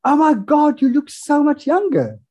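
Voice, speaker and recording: A man hosting a podcast, chatting casually and reacting with quick, enthusiastic exclamations. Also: very high voice, wildly swooping pitch, warm tone. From South Africa. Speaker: podcast host. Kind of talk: casual interview chat.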